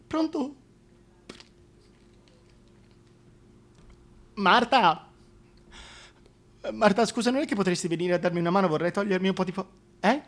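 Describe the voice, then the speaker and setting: A man's voice: high voice, fairly quick, fairly bright tone. A man playing a flustered, foul-mouthed presenter in a comic radio play, agitated, stammering and colloquial. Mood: dejected, pleading. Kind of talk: theatrical comic monologue.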